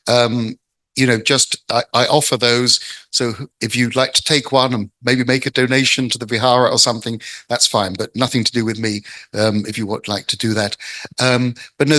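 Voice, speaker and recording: A man, the invited speaker and author, giving a measured, thoughtful talk with many ums. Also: mid-range voice, sharp tone, no room sound, low-quality recording. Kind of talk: talk on Buddhist scripture.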